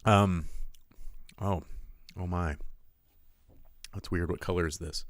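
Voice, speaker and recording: A man's voice: sits low, fairly even intonation, slow pace, no room sound. An American man hosting a casual live stream podcast, chatting in a rambling, informal way that often interrupts itself. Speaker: podcast host.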